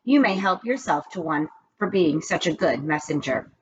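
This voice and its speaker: A woman reading as a brisk, bossy female schoolteacher in a storybook, with a stern and insistent manner. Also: sits low, lively intonation. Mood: pleased. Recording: dull lo-fi recording with background noise.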